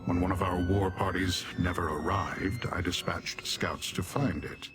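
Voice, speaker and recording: Casting: imperious demon warlord for a fantasy game, very low voice, deadpan disdain, formal diction, music underneath. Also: male voice. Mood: cold, businesslike. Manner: formal, condescending, deadpan, measured. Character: haughty male Dremora demon warrior commander, coldly disdainful of mortals. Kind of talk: video game character dialogue.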